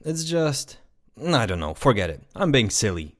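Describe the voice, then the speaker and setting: A man's voice: medium pitch, sing-song, moderate pace, lightly acted. A man playing a shy, hesitant, friendly afterlife guide in an audio drama. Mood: awkward.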